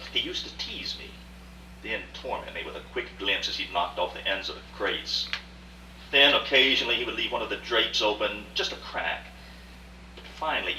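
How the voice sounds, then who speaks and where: An actor playing a vengeful, obsessive gentleman art collector in a radio drama, with formal, theatrical delivery and outbursts. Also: on the low side. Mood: brooding, tormented. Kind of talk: live radio drama acting. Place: indoor rehearsal of a live radio play. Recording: roomy, distant mic, hum and hiss.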